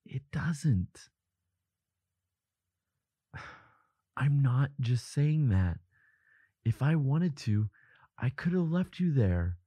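A man acting a devoted, protective boyfriend in an intimate audio roleplay, speaking softly in halting, earnest reassurance. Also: mid-range voice, husky, no echo. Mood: earnest, gentle.

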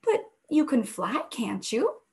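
A gentle, friendly male songbird from a children's storybook, voiced by a woman, soft and sweet in manner. His voice sits fairly high and slow, with a lilting, lively melody. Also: full character voice.

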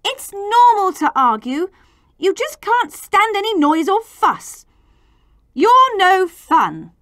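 A woman voicing spirited, defiant children speaking up loudly in a children's storybook. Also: very high-pitched, sing-song, takes it slowly, dry recording, clean studio sound, pitched above the performer's own voice, exaggerated voice. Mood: indignant.

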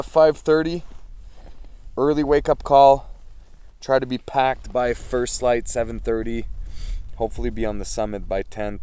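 A man's voice: medium pitch, clear, resonant tone. A man in his thirties vlogging a winter mountain camping trip in a casual, chatty way. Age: 30s. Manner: relaxed, chatty, laughs easily. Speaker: outdoor vlogger. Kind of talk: casual vlog narration. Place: outdoors on a windy snowy mountain and in a tent. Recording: loud wind noise on the mic.

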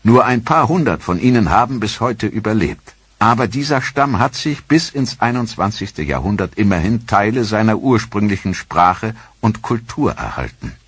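A man narrating a history documentary in a measured, sober manner. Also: lowish voice, lively intonation, fairly bright tone, husky, no echo. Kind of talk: scripted narration. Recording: dry studio voice-over.

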